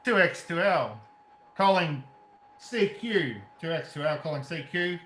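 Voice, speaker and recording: A man's voice: on the high side, expressive, a little slow, fairly bright tone. A man playing a lone radio operator repeating calls in search of anyone, in 1930s radio play style. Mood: desolate, searching. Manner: repetitive call-sign phrases. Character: lone male radio operator seeking any survivor. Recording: echoey room, faint noise.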